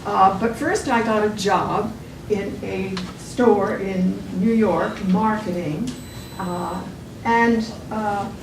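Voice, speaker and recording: A woman's voice: mid-range voice, steady tone, slow pace. A woman playing a boastful, well-to-do reunion guest who gushes and shows off in an amateur comedy skit. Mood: gushing, boastful. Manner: gushing, showing off. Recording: roomy, distant, noisy live stage recording.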